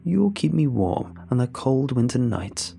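A man's voice: mid-range voice, sing-song, moderate pace, vocal fry, dark tone, faint music behind. A man voicing a cruel old witch who hoards cats and sneers at her captive. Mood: gloating menace. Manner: hissing, contemptuous, calling people peasant. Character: cruel ancient witch, cat-hoarding hag.

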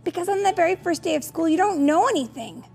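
Woman playing a stubborn, high-voiced little kid. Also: expressive, quick pace, vocal fry, a little mellow, soft background music, heavily acted. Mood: stubborn, insistent. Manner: insistent, pleading. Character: stubborn anxious young child, first-day school refuser.

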